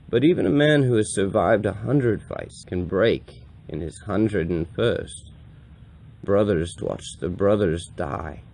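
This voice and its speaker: A man performing a grave, compassionate old wandering priest in a solemn, deliberate dramatic monologue with a gravelly voice. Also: lowish voice, dark tone, exaggerated voice. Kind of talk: dramatic audiobook-style monologue reading.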